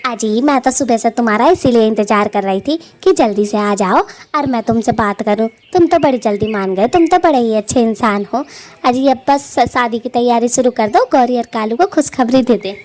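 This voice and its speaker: A woman voicing a chatty, affectionate young female bird in a Hindi family cartoon, with an expressive, teasing manner. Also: on the high side, moderate pace, lightly acted. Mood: eager.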